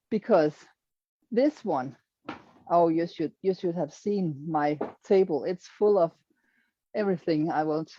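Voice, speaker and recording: A woman's voice: mid-range voice, dark tone, no room sound, dull audio. A middle-aged Danish woman with a Danish accent, showing her quilts to an audience in hesitant English, often searching for words. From Denmark. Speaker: quilter presenting her work. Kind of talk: presentation to an online audience.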